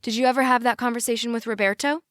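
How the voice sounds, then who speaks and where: A headstrong, indignant teenage girl played by a woman reader, pitched up from a middle register. She is challenging and emphatic, with pissy impatience, in a dry audiobook recording. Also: lively intonation, fairly quick, fairly bright tone, dry recording. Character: indignant, headstrong teenage daughter confronting her parents. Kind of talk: audiobook character dialogue.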